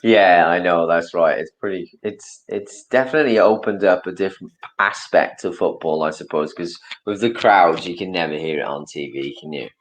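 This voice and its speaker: Man, from Wales, very low voice, chatty, rambling podcast guest. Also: moderate pace, few pauses. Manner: chatty, rambling, animated impressions. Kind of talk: casual chat.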